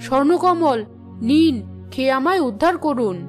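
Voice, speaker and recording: A woman's voice: sits high, animated, moderate pace, soft background music. A woman voicing a bad-tempered, stingy middle-aged village aunt in a cartoon, scolding and sarcastic. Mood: sarcastic grumbling. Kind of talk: children's cartoon voice acting.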